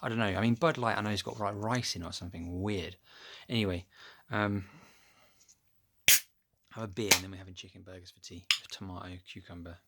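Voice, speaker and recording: A man with a low, deep voice talks casually into a phone camera held close. His delivery rambles, drifting off track and filling the gaps with ums and "anyway". It sounds homely and unpolished, recorded at home. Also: steady tone, a little fast, heavy background noise. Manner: rambling, loses his thread with many ums. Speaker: vlogger. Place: at home, upstairs room.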